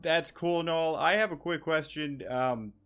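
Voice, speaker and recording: A man's voice: a little high, measured pace, fairly bright tone, smooth, faint music behind. Joking young man commentating over a game. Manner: joking, slangy, sweary. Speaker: gaming streamer.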